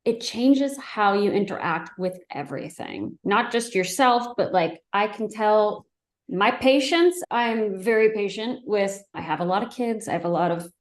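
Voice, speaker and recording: A woman, a speech therapist guesting on a podcast, speaking earnestly in quick bursts. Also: mid-range voice, lively intonation. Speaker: guest, speech-language pathologist. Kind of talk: casual podcast conversation. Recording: echoey room.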